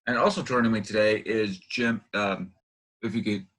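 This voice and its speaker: Male host, casual interviewer. Slightly low, very bright voice, hesitant delivery with rephrased questions. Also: fairly even intonation, moderate pace. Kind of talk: casual online interview, halting.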